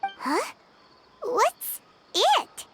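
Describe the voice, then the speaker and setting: A woman's voice: very high voice, wildly swooping pitch, extremely slow, heavily acted. A woman voicing a tiny, childlike female spirit in a video game, earnest and caring. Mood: curious, concerned. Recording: music under the voice.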